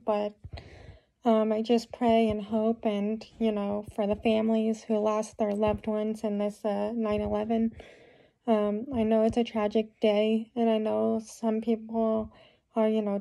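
Slightly hoarse young woman with a cold, rambling advice into her phone, flat delivery, kinda high pitch. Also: poor audio. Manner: rambling advice with many fillers. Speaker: vlogger. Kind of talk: casual talk to camera. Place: small room indoors. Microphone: phone camera at close range.

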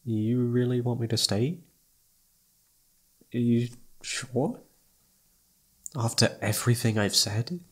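Guy doing a shy lovesick best friend for asmr roleplay, soft n breathy, unhurried, unsure of himself, kinda tender. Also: medium pitch. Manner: hesitant, halting, tender. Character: lovestruck, self-doubting young male best friend confessing feelings. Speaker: amateur voice actor. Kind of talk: intimate ASMR roleplay acting.

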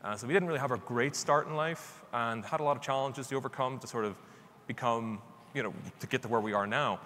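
A man's voice: medium pitch, fairly quick, sharp tone. Man, Northern Irish accent, conference speaker, conversational, self-deprecating, reverberant hall, faint background noise. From Northern Ireland. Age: middle-aged. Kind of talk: informal live presentation. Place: large conference stage indoors.

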